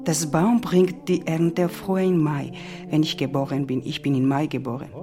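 A woman from Georgia speaks in a fairly low voice with a Georgian accent. She tells a personal story, and music plays prominently behind her. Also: steady tone, moderate pace. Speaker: interviewee in a radio feature. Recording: music under the voice.

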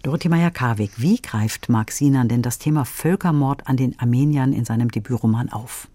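A woman's voice: very deep voice, brisk, dark tone, no room sound. A woman presenting audiobook reviews on the radio, reading scripted introductions and putting questions to a critic.

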